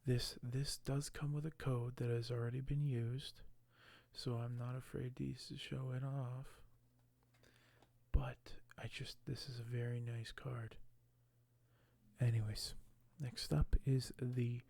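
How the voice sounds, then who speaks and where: A man doing ASMR in a soft, hushed, often whispered voice, halting and unhurried as he shows items and reads aloud close to the microphone. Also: mid-range voice, flat delivery, creaky. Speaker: ASMR video creator. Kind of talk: ASMR unboxing and reading aloud.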